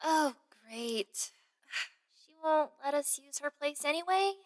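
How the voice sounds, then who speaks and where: An actress plays a young palace woman who loves to gossip, in a fantasy audio drama. Her voice is high and expressive. She is chatty and excitable, with a theatrical delivery. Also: takes it slowly. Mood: annoyed.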